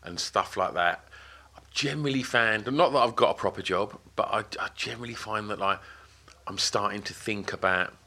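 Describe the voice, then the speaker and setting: A man's voice: fairly low pitch, normal speed, pushed and bright. A man in his forties hosting a podcast, chatting casually and rambling with plenty of fillers and restarts. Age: 40s. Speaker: podcast host. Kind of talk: casual interview chat. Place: office building in the city.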